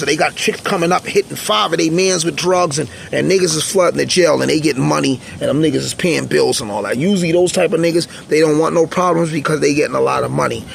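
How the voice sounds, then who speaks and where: A man with a raspy voice telling stories in a blunt, streetwise, rambling monologue. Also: on the high side, slight background noise. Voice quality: raspy, gravelly voice. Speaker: channel host, former prisoner. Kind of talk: casual unscripted monologue.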